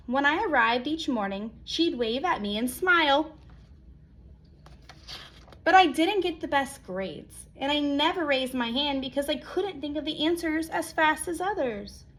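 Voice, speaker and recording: Female storyteller, high voice, expressive picture-book read-aloud delivery with dramatic pauses. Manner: animated, acts out exclamations, dramatic pauses.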